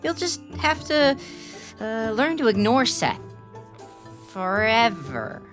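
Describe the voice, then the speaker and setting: A performer voicing an excitable, loyal young boy flying squirrel in a children's audio drama. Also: medium pitch, slow, faint music behind. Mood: encouraging.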